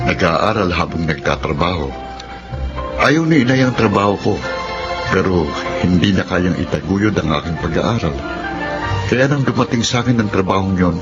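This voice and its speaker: Earnest principled young working student, low voice, Filipino radio drama, dull lo-fi sound. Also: male voice, animated, moderate pace, long pauses. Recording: dull lo-fi audio with music.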